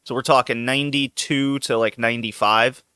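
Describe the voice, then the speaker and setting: A man hosting a casual podcast with a bright, forceful voice, laughing easily through loose chat. The sound is dry and close, with no room around it. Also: medium pitch, steady tone, normal speed, clear, resonant tone, no echo. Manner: loose, joking, laughs often. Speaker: podcast host.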